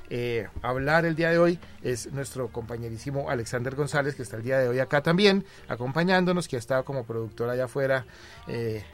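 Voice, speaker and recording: A man from Colombia, hosting a radio talk show and introducing segments and guests in a relaxed, conversational way. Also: mid-range voice. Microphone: studio microphone.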